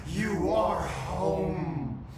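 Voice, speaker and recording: An actor voicing a hostile otherworldly entity in a game, chanting cryptic phrases in a disjointed way, in a cavernous distant recording with music. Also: male voice, high voice, very slow, gravelly, background noise, heavily acted. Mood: eerie trance. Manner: chanting, disjointed riddling delivery. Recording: cavernous echo, distant, loud music behind.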